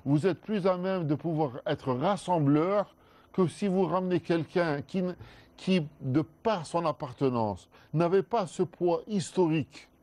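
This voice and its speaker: A man speaks as a guest scholar in a studio discussion. His voice is mellow and warm, recorded dry and close. He explains patiently, circling back and restarting his sentences. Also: on the high side, dry recording. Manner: measured, with frequent restarts.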